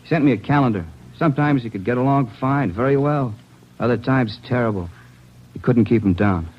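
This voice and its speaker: A man's voice: medium pitch, a little fast, close to an everyday voice. An actor playing a cooperative, plain-spoken man in a 1940s radio crime drama, speaking in short fragments. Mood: reflective. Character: cooperative, plain-spoken male acquaintance of a fugitive. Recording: dull, noisy vintage radio recording.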